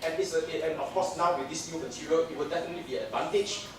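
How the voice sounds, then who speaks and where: Singaporean-accented male lecturer, chatty, high-pitched, miked from far off in a reverberant hall. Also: steady tone, very fast. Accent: Singaporean English. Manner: chatty, joking, many fillers. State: jet lagged. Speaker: dental lecturer. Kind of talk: conference lecture. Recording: echoey hall mic from far off, audience noise.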